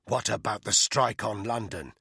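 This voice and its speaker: A hard-bitten soldier giving quiet, clipped orders in a low, grim voice, as a narrator plays him. The voice is husky and dry, close and unadorned. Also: male voice, moderate pace, dry recording, exaggerated voice. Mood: grim, commanding. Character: hard-bitten male soldier, commanding and calm.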